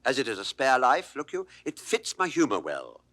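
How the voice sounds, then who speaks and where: A mock-grave court jester with a British accent, bright and wildly varied in pitch. He delivers balanced, teasing pseudo-philosophy in classical stage style, as in a 1960s recorded play. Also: wildly swooping pitch, normal speed. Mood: mock-philosophical, teasing. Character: witty, mock-grave court jester lording it over a shepherd. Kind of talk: classical Shakespearean stage acting. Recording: 1960s studio audio drama recording.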